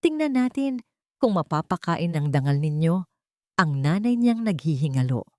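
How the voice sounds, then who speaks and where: Female reader as wealthy matriarch for audio drama: soft venom, condescending, low-pitched, dry close sound. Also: big pitch swings, moderate pace, dry recording. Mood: sarcastic scorn. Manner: gentle but poisonous, condescending. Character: haughty rich matriarch, venomously soft-spoken and sarcastic.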